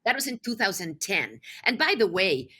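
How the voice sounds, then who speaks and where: A woman from Mexico City whose first language is Spanish talks as an interview guest. Her voice is bright and forceful at a medium pitch, and her delivery is conversational, emphatic and enthusiastic. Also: big pitch swings, normal speed, slightly rough. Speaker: podcast guest, nonprofit executive.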